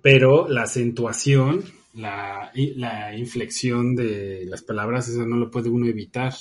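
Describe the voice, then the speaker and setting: A man's voice: mid-range voice, unhurried. A man chatting as a podcast co-host, teasing and arguing in easygoing banter. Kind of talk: casual chat.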